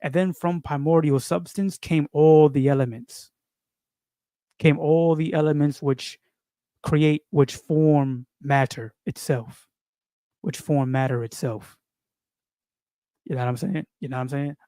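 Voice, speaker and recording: A man's voice: a little high, fairly even intonation, measured pace, long pauses, dark tone, no room sound. Rambling New man, dry close sound. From New York. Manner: rambling, repeats phrases, frequent fillers and slang. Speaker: video creator. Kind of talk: casual spiritual monologue.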